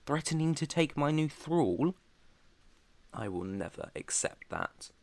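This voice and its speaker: A man's voice: a little high, very animated, measured pace, darkish tone, dry recording. A British man playing an ancient vampire master in an ASMR roleplay, proud, protective and tender, with an earnest, slightly formal way of talking. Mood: indignant anger. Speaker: roleplay voice performer.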